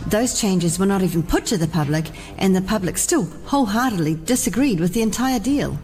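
A woman's voice: medium pitch, lively intonation, brisk, darkish tone. A woman reading a prepared political commentary in an earnest, indignant tone. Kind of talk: scripted political commentary read aloud.

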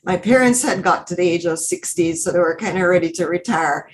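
A middle-aged woman from Jamaica, a guest telling her story in a podcast interview, confident and animated. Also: fairly low pitch, brisk, slight background noise, poor audio.

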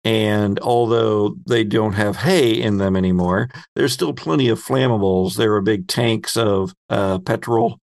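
Low gravelly guy running a tabletop game, describing scenes with lots of pauses, dry close sound. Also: darkish tone, dry recording. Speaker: game master and host. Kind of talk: improvised narration of a tabletop game.

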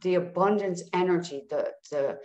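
A woman's voice: lowish voice, moderate pace, a little mellow, echoey room. A woman guest coaching listeners in a soothing way, often checking in with "all right?". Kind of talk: guided healing talk.

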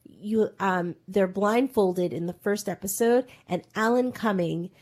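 A woman's voice: medium pitch, measured pace, a little mellow. Rambling, chatty woman recapping a show. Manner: rambling storytelling full of "like" and "um". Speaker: podcast co-host. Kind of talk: casual pop-culture chat.

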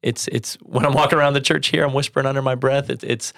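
Man in his thirties, church show co-host, casual storyteller, medium pitch, dry close sound. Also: fairly even intonation, fast talker, gravelly, fairly bright tone, no echo.

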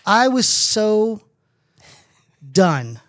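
A man's voice: high-pitched, big pitch swings, very slow, no echo, clean studio sound. A man in his fifties co-hosting a podcast in casual comedic chat, opinionated, rambling and freely swearing. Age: 50s. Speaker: podcast co-host.

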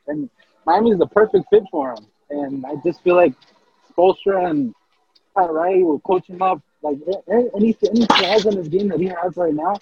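Casting: husky, gravelly man, high pitch, fast animated restarts on a dull lo-fi recording. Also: no room sound. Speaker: podcast co-host. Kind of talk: casual sports chat.

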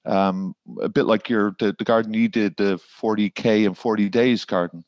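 Irish man, low voice, dull dry sound. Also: fairly even intonation, moderate pace, a little mellow, no room sound. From Ireland. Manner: rambling, with many fillers and restarts. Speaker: garden designer guest. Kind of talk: podcast interview.

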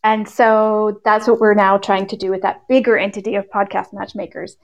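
A woman's voice: mid-range voice, level tone, moderate pace. A woman speaking as a podcast reviewer and interview guest, chatting informally and telling stories with quoted asides. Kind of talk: casual conversational interview answers.

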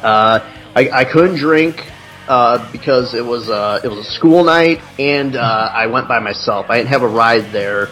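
A man from the Chicago area talks through a long story, swearing freely and drifting from point to point. His pitch sits in an ordinary middle range, and faint music plays under the conversation. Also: a little slow, fairly bright tone, low-quality recording. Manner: profane, rambling storytelling. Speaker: podcast co-host. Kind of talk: casual chat.

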